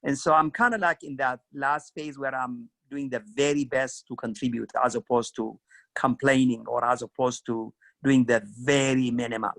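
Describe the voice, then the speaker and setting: Ethiopian-accented man, middle-aged, earnest storyteller; bright tone, dry room. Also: medium pitch, steady tone, moderate pace, gravelly, no echo. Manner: earnest, storytelling, frequent "you know" and "kinda". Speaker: career mentor guest. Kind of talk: reflective career interview.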